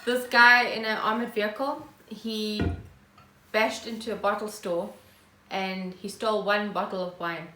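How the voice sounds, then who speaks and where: A woman's voice: mid-range voice, steady tone, measured pace, background noise. A woman co-hosting a podcast, chatting casually with wry, joking remarks. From South Africa. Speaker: podcast co-host. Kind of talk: casual chat. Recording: echoey room.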